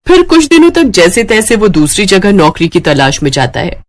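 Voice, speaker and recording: Storyteller with lively, swinging intonation. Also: mid-range voice, moderate pace. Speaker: narrator of a moral story cartoon. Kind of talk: scripted storybook narration.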